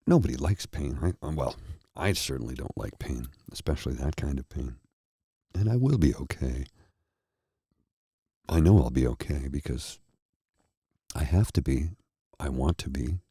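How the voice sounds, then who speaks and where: A tired man with a husky, slightly froggy throat, hosting his own show and talking earnestly and slowly to his viewers. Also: extremely low pitch, expressive, warm tone, no room sound, studio quality. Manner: slow, earnest, heartfelt. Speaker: host. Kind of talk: candid personal talk to viewers.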